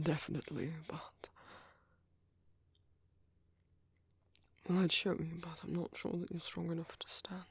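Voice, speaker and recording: Breathy, gentle British vampire priest, acted by a man. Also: fairly high pitch, no room sound, heavily acted. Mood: soothing, reassuring. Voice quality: breathy, gravelly, half-whispered. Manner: hesitant, polite, reassuring. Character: gentle, caring male vampire priest sheltering a stranger. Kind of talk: soft-spoken ASMR roleplay.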